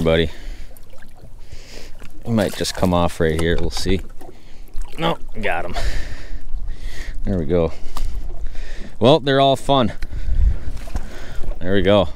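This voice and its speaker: A man in his thirties gives casual, slangy commentary as he fishes from an open boat on a lake. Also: low voice. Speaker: fishing vlogger. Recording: outdoor boat and water noise.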